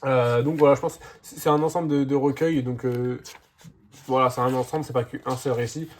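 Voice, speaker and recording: A man's voice: medium pitch, fairly even intonation, a little fast. A man with a head cold and a slightly sore throat, talking through a casual comics haul video with lots of hesitant fillers. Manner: hesitant, many "euh" fillers and restarts. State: congested, slightly hoarse from a cold. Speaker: comics vlogger.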